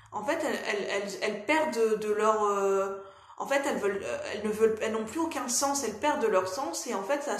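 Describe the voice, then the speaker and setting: Hesitant French woman with a cold. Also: medium pitch. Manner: hesitant, many fillers and restarts. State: has a cold. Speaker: vlogger and life coach. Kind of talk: casual talk to camera. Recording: echoey room.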